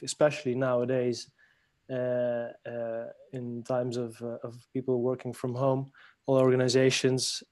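A man from France, a guest on a podcast interview, speaking thoughtfully with many pauses and restarts. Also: mid-range voice, a little mellow, no echo. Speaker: guest, leadership trainer.

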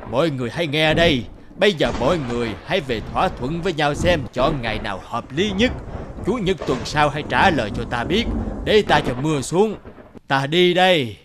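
A man voicing a calm, authoritative rain god in an animated fairy tale, speaking in a solemn, commanding way. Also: a little high, a little fast, noisy background, lightly acted. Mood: firm, calming. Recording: loud music under the voice. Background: loud music.

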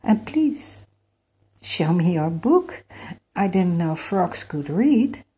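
A woman's voice: lowish voice, very animated, slow pace, mellow, breathy edge, full character voice. A woman playing an impatient, confused little boy in a storybook, plaintive and emphatic. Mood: pleading.

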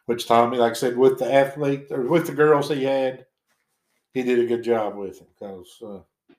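A man's voice: medium pitch, steady tone, moderate pace, a little mellow, echoey room. A middle-aged man with a Southwest Virginia accent, a former basketball coach turned principal, giving short, plain-spoken answers in a casual interview. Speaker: retired basketball coach, now school principal.

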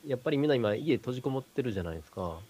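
A man's voice: medium pitch, lively intonation, racing, dark tone. A man talking casually among colleagues. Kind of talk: casual chat.

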